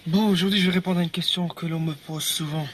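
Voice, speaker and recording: A man's voice: on the high side, steady tone, fast talker, fairly bright tone, no room sound. A man telling his own story on video, rambling and hesitant, with repeats and nervous laughs. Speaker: ex-believer giving a testimony video. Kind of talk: casual video testimony.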